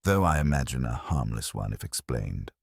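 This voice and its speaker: A man acts out an unruffled police inspector in a mystery audiobook. He speaks very low, mildly and with dry understatement, in a close, dry sound. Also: very animated, moderate pace, vocal fry, husky, no room sound, full character voice.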